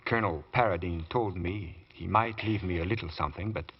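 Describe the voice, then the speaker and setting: A cagey servant witness, really low husky voice, deferential, old radio drama. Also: male voice, brisk. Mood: guarded, uneasy. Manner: hesitant, evasive, deferential. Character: guarded, defensive manservant as male witness. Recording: dull lo-fi old radio recording.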